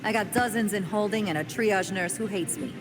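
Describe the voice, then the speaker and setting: A woman's voice: medium pitch, a little fast, lightly acted, poor audio. A woman playing an overworked, irritable police officer in a game cutscene, grumbling and brusque. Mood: irritated. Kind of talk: video game cutscene acting. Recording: loud music behind the voice.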